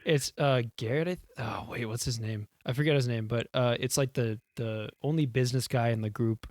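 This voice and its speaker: A man's voice: medium pitch, a little fast, a little mellow, no room sound, studio quality. A young man with a Houston Texan accent, co-hosting a podcast in casual, rambling chat, laughing and swearing loosely. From Houston, Texas. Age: young adult. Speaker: podcast co-host. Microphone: desk mic, leaning in.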